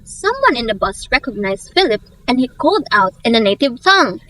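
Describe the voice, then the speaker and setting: High-pitched young woman narrating a story. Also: big pitch swings, brisk, a bit bright, a little hiss or hum, rough recording. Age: young adult.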